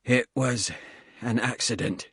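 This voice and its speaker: A man voicing a gruff, burly soldier who talks bluntly and swears while short of breath. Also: medium pitch, slow, fairly bright tone, no echo, studio quality, full character voice. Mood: defensive.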